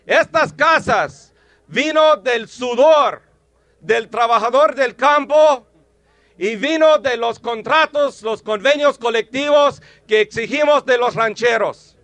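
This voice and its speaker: A man's voice: very high-pitched, takes it slowly, a bit bright, no room sound. A man, giving a rousing rally speech. He punches out slogans like a union organizer firing up a crowd. The sound is dry and close.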